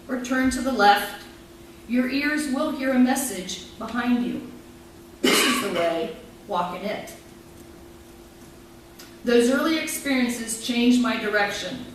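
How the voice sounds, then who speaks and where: A middle-aged woman pastor delivers a sermon in a measured, reflective way, weaving her own story with scripture readings. Her voice sits a bit high. It reaches the mic from a distance through a very echoey room with faint background noise. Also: fairly bright tone.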